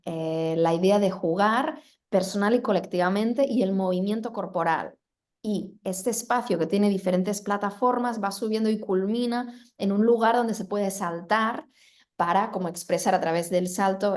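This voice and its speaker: A woman's voice: medium pitch, darkish tone. Fluent woman summarizing a talk. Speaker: moderator and interpreter. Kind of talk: quick Spanish summary of a presentation.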